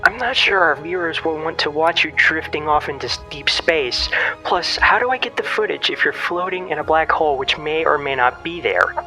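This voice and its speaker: A man's voice: on the high side, pushed and bright, exaggerated voice, rough recording. A man playing a sarcastic, anxious young inventor in a sci-fi audio drama, incredulous and sardonic. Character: sarcastic, anxious young male inventor and show sidekick, Troy.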